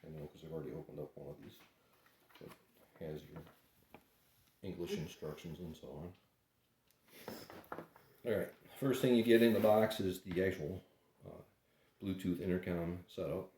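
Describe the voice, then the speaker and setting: Casting: male product reviewer with a low voice, hesitant and unpolished, recorded in an echoey room with faint noise. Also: normal speed, long pauses, darkish tone. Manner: unscripted, hesitant, plain-spoken. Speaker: product reviewer doing an unboxing. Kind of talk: casual hands-on unboxing commentary. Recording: roomy echo, faint background noise.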